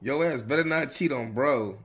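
A man's voice: mid-range voice, moderate pace, a bit bright, slight background noise, natural voice. A man playing a cocky young gangster in an online role-play game, talking in slangy, sweary, joking street talk. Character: cocky young male gangster jailed, reassuring his woman.

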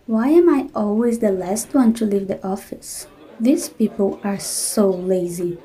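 A young woman voicing an unhappy office worker, speaking a complaining inner monologue in a weary, flat way. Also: mid-range voice, measured pace, close to an everyday voice. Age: young adult. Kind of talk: scripted inner-monologue narration.

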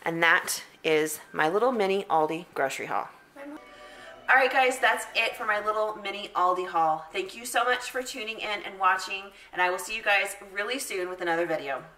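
A woman's voice: medium pitch, normal speed, pushed and bright. A woman in her thirties casually chatting through a grocery haul at home, upbeat and repeating words for emphasis. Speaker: vlogger. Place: home hallway and kitchen, indoors.

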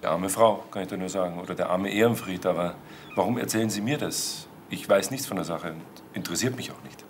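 A man playing a smooth, haughty count in a crime drama, persuasive and self-important. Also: sits low, quick pace, slight background noise, natural voice. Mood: guarded. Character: smooth-talking, haughty male count and property promoter. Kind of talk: German TV crime drama acting.

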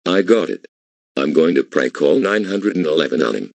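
A scheming cartoon prankster in a man's text-to-speech voice. The pitch is extremely low and swings widely, with a gravelly creak and stilted phrasing. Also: animated, moderate pace, no echo, exaggerated voice. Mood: smug, scheming. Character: scheming blue cartoon troublemaker who makes prank calls. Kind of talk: scripted animated dialogue.

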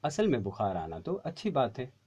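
A man's voice: mid-range voice, wildly swooping pitch, moderate pace, darkish tone, slight background noise. A man in his thirties reading scripts aloud for a voice-over audition into a studio microphone. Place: small indoor recording studio booth. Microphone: studio condenser mic with pop filter.

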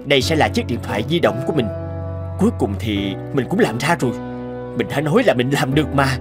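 A man's voice: medium pitch, expressive, fairly quick, lightly acted. A man playing an eager, polite and confident inventor in a cartoon dub. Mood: triumphant. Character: gleeful, driven male inventor, confident and polite. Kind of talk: Vietnamese cartoon dub acting. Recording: music under the dialogue.

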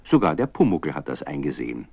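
A low, dry-recorded storyteller's voice. He narrates for children in a kindly, avuncular way, explaining things directly to the listener. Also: very animated, a little fast, vocal fry, darkish tone, breathy edge, no echo, exaggerated voice. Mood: gentle, explaining.

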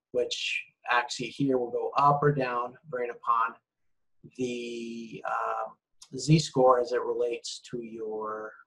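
A man's voice: mid-range voice, fairly bright tone, breathy edge, poor audio. A man giving a recorded slide lecture, rambling and correcting himself with frequent ums. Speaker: university lecturer.

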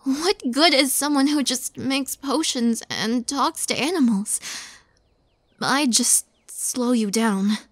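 Woman acting a breathy, high-voiced shy young witch. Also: no room sound. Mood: tearful, apologetic. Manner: hesitant, halting, soft-spoken, often dropping to whispers. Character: shy, tender young witch girlfriend, tearful and devoted. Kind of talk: intimate girlfriend roleplay audio, soft and breathy.

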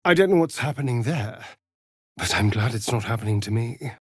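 An actor playing a sly, vain vampire rogue in a video game, with a theatrical, arch, drawling delivery. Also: male voice, mid-range voice, lively intonation, brisk, breathy edge, dry recording, clean studio sound. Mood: arch, wry.

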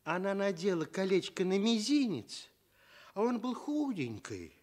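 A man narrating a scripted fairy tale as an expressive storyteller. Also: sits high, unhurried.

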